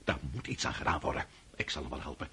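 Effusive old-world prince and father, radio drama, bright highish voice, swooping intonation. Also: big pitch swings, quick pace, slight background noise, exaggerated voice. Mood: scheming. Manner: effusive, repeats himself. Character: jovial, scheming aristocratic father, effusive and excitable prince. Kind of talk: Dutch radio drama acting.

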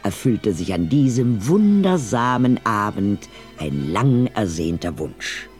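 A male narrator tells a story at an unhurried pace, his pitch moving in a lively way. The delivery is scripted, like a storybook, with clear music behind it.

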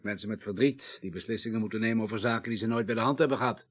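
An actor playing a humane, wry undertaker in a radio drama, measured and reflective, with gentle amusement. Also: male voice, lowish voice, close to an everyday voice. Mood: reflective, compassionate. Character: humane, wry male undertaker, a former parliamentarian. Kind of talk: naturalistic Dutch radio drama acting.

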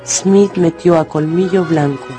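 A man narrating a dramatised radio story with theatrical, deliberate phrasing. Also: a little high, fairly even intonation. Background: music.

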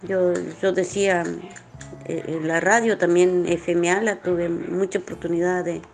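A woman's voice: fairly low pitch, level tone, a little slow, faint music behind. A woman talking informally and reflectively, in an earnest, rambling way. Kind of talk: informal reflective interview talk.

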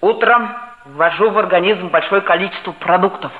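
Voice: medium pitch, moderate pace, narrow, tinny audio, close to an everyday voice. An actor playing a boastful, gluttonous schoolboy who talks with comic pomposity in a 1960s radio play. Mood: boastful, breezy. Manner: pompous mock-scientific talk. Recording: echoey, band-limited vintage radio play recording.

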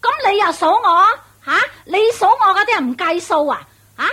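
A woman's voice: very high voice, very animated, pushed and bright, exaggerated voice. A woman playing a shrewish, domineering and vengeful witch in a radio drama, nagging and taunting in Hong Kong Cantonese. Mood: indignant. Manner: nagging, taunting, drawn-out emphatic delivery. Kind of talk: theatrical radio drama acting.

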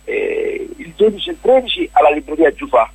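A man with a Roman accent speaks in a creaky, husky voice, close and dry. He is an interview guest talking off the cuff about what he is presenting. He rambles and stumbles, often poking fun at himself. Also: on the high side, wildly swooping pitch, no room sound, rough recording. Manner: halting, self-deprecating, joking, rambling. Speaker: guest presenting a cultural festival. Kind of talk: informal radio interview, unscripted chat.

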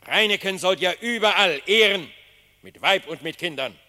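An actor playing a solemn lion king in a classic radio play, declaiming verse to his animal court in a stately, deliberate manner. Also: high voice, animated, sharp tone, exaggerated voice. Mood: solemn, commanding. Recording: long hall reverb.

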